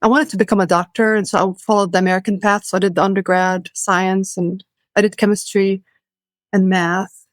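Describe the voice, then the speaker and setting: A middle-aged woman from Israel, a psychiatrist, answering interview questions in a steady, explaining way. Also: mid-range voice, a little fast, no echo. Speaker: psychiatrist guest.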